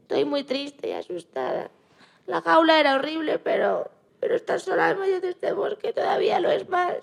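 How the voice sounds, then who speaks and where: A woman's voice: very high voice, big pitch swings, moderate pace, gravelly, exaggerated voice. A woman playing a small, timid, trusting young female rabbit in a childlike, plaintive way for a children's radio story. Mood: scared, sad. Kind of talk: amateur radio-play acting of a children's story.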